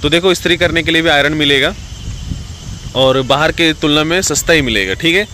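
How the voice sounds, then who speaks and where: Young guy vlogging on his phone under some trees, bright voice, reassuring advice, faint bugs in the background. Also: a little high, fairly quick, slight background noise. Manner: reassuring, repeats "ठीक है" often. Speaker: vlogger giving advice to recruits. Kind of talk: casual advice vlog. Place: outdoors, green rural spot under trees. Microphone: phone selfie at arm's length.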